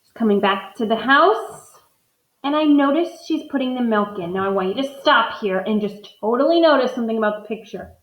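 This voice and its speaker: A woman's voice: on the high side, expressive, a little fast, reverberant, poor audio. A woman teacher doing a read-aloud lesson, thinking aloud as she models inferences from the pictures for her students.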